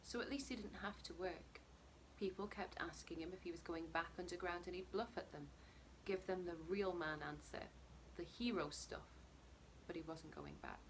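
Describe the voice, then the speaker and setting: Low-voiced woman reading fiction, noisy background. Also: normal speed. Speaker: story reader. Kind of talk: narrated short fiction reading.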